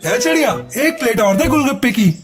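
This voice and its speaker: A bossy male ghost crow from a children's cartoon, played by a woman. The voice is on the high side, with gruff, menacing demands for more. Music plays clearly underneath. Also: lively intonation, brisk. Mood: demanding, brusque. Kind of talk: children's cartoon story dialogue.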